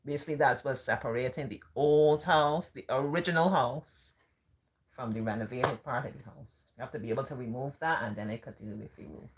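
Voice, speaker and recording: A calm Barbadian woman hosting a live stream, talking casually in a gentle, sing-song way. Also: rumbling low, a little fast, a little hiss or hum.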